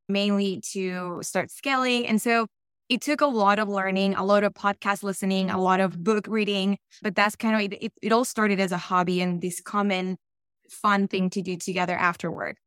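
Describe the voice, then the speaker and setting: Colombian-accented woman, smooth clear voice. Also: mid-range voice, fairly even intonation, moderate pace, fairly bright tone, no room sound, studio quality. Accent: Colombian Spanish. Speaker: podcast guest, real estate investor. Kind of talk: conversational interview answers.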